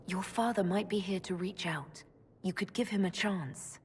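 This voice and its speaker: An actress playing a composed, sympathetic female elf mage hero in a video game, speaking in a measured, restrained way. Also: mid-range voice, in a large hall, slight background noise, close to an everyday voice. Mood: diplomatic. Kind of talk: video game dialogue acting.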